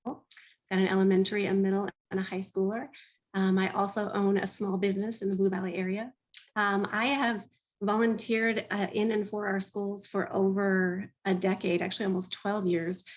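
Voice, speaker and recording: A woman in her thirties running for school board, hoarse and stuffy from a cold, speaking in a friendly, apologetic way over a video call. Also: mid-range voice.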